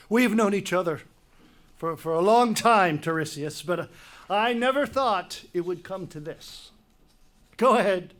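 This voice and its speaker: A proud, defensive king of stage tragedy, played by a man, speaks in a high male voice. The delivery is emphatic but halting, catching and repeating words as the stubborn ruler argues. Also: expressive, a bit bright. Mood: scornful. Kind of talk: dramatic reading of Greek tragedy.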